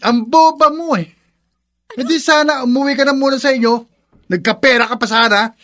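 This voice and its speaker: Casting: threatening young Filipino husband, unusually high pitch, callous, snappish radio drama delivery. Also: male voice, steady tone, a little fast, pushed and bright. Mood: irritated, dismissive. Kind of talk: Filipino radio melodrama acting.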